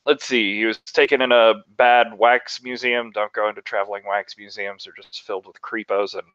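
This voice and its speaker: A man with a fairly low voice plays in a tabletop roleplaying game and chats in a relaxed, joking way, pausing on fillers. His voice is dry and dull, with no room sound. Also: clean tone, no echo. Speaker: player. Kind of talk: casual chat.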